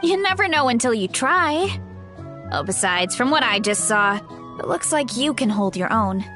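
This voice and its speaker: A woman voicing a gentle, modest, absent-minded young warrior in a video game, with a hesitant manner. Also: a little high, animated, normal speed, faint music behind. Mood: encouraging. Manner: hesitant, soft-hearted, trailing off. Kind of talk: video game character acting.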